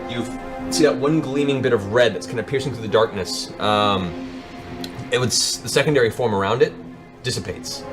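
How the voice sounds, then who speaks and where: A man narrating a tabletop fantasy game as game master, in a descriptive, dramatic storytelling manner. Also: mid-range voice. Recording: music playing under the voice. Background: background music.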